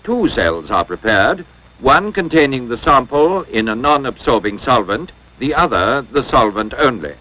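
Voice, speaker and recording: Male narrator, 1950s instructional film: scripted, formal and measured, mid-pitched with animated intonation. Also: poor audio. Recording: 1950s educational film soundtrack.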